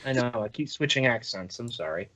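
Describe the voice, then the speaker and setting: A man's voice: medium pitch, a little fast, bright, forceful tone. A man in casual, joking conversation as a show host, heard on a slightly dull, lo-fi recording. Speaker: host of an audio drama review.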